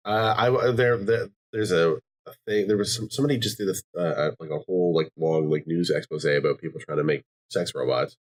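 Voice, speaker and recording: A man with a very low voice hosts a loose, casual podcast. He rambles with lots of fillers and swears freely as he chats with his guests. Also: a little fast, hardly any pauses. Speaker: podcast host.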